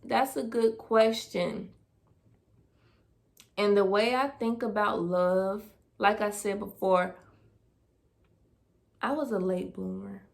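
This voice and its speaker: A woman's voice: mid-range voice, steady tone, slow, gravelly, pauses often. A woman, casually answering personal questions on her vlog, thinking aloud with fillers. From Dayton, Ohio, US. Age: 20s. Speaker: vlogger. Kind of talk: casual Q&A tag video.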